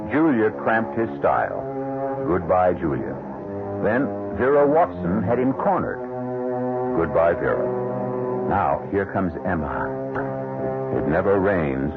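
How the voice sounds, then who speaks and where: A 1970s American radio mystery storyteller, wry and sardonic. His very deep voice moves expressively up and down. It is a tinny old recording with loud music behind. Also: big pitch swings, measured pace, long pauses, full character voice. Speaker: host and narrator.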